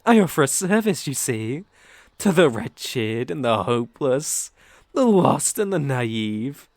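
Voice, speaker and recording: A man's voice: medium pitch, big pitch swings, slow, darkish tone, no echo, clean studio sound. A man with a British accent playing a sadistic, predatory werewolf in an ASMR roleplay, theatrical and menacing, savoring his words. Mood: gloating, menacing. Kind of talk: ASMR roleplay audio drama.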